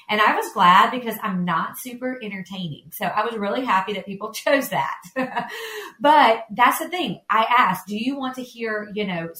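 A woman's voice: fairly high pitch, a bit bright, echoey room. A woman co-hosting a podcast, giving chatty, encouraging advice. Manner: chatty, encouraging, laughs easily. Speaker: podcast co-host.

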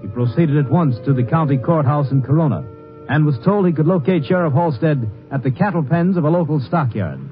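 Man narrating an old radio drama. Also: on the high side. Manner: measured, formal. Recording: dull lo-fi old radio broadcast under music.

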